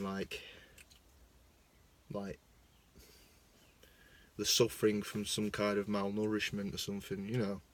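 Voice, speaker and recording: Chatty Lancashire lad, low voice. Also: male voice. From Lancashire, England. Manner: chatty, self-mocking, swings between loud bursts and mumbling asides. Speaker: vlogger. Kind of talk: casual rambling monologue. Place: parked car. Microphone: phone.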